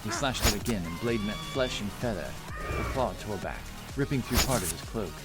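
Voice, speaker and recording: Low-voiced man narrating fantasy drama. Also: expressive, moderate pace. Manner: dramatic storytelling. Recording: very loud music behind.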